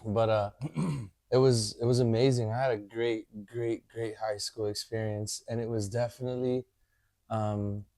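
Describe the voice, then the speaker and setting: A man in his thirties, a podcast guest, talking in a relaxed way and often adding "you know". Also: mid-range voice, measured pace, dry recording. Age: 30s. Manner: laid-back, leans on "you know" a lot. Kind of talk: casual chat.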